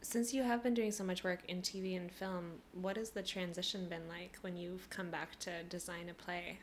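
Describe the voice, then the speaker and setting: A woman's voice: fairly low pitch, fairly even intonation, moderate pace, slight background noise. A woman hosting a conversational interview, friendly and affirming, laughing along with her guest. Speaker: podcast host.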